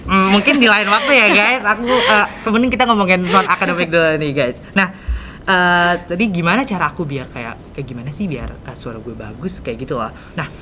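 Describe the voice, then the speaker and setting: Low-pitched teenage girl, Jakarta podcast host. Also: fairly quick, crowd noise. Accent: Jakarta Indonesian. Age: teens. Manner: chatty, informal youth slang. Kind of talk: casual chat interview. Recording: rumbly background noise.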